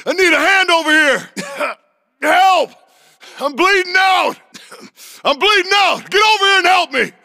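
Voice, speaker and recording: An actor playing a tough, alert squad soldier in a military shooter game, giving clipped, urgent callouts. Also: male voice, extremely high pitch, animated, a little slow, long pauses, bright, forceful tone, raised voice, full character voice. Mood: urgent, alarmed.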